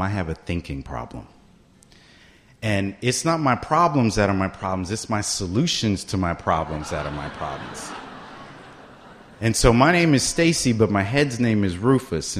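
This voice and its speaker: Middle-aged man, lowish voice, casual storyteller speaking to a meeting, conversational and direct. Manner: conversational, keeps asking "right?" to the room. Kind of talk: personal story told to a meeting.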